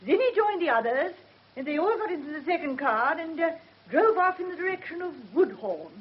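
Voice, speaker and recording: A woman playing a precise, spirited British witness in a radio drama, prim and crisply assertive. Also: very high voice, sing-song, fairly quick. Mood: precise, brisk. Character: precise, spirited, self-assured female witness. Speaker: actor. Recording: muffled vintage radio drama recording.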